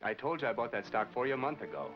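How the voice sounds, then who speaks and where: An excitable, flustered fellow acted by a man, gabbling very quickly in 1940s screen comedy style. Loud music plays behind the old soundtrack. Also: medium pitch, lo-fi sound, close to an everyday voice. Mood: exasperated. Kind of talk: 1940s film comedy acting.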